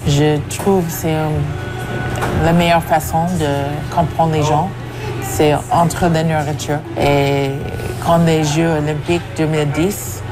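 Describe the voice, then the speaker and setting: Low-voiced woman, music behind. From Canada. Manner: hesitant, mixes in English words. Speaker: restaurant owner. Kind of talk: interview answers.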